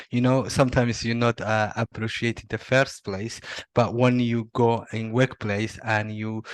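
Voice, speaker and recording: A middle-aged man from Somalia, not a native English speaker, answering interview questions haltingly with many fillers and restarts. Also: fairly low pitch, no room sound. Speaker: interviewee, Salesforce admin. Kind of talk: video interview.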